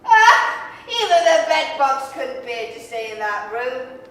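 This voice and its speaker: A woman's voice: sits high, big pitch swings, slow, a bit bright, heavily acted. An actress playing a sly, callous female scavenger who relishes her stolen goods, in a staged 1930s radio drama. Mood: sly gloating. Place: performing arts center stage. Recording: echoey hall from far off, faint noise.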